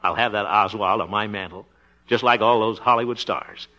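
A man's voice: fairly low pitch, normal speed, bright, forceful tone. A man playing a self-satisfied, scheming small-town fellow in 1950s radio comedy, blustering and muttering sly asides with chuckles. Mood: smug, muttering. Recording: dull vintage 1950s radio recording.

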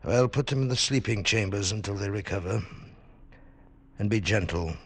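A man acting in a 1940s radio drama as a gentle, grave, pitying elder of a superior people, with a gravelly, husky voice. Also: lowish voice, moderate pace. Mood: pitying calm.

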